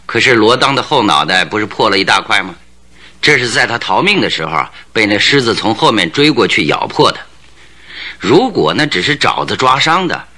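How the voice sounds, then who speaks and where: An actor playing a shrewd, confident detective in a Chinese radio drama, speaking in a theatrical, probing and needling manner. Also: male voice, on the low side, sharp tone, full character voice.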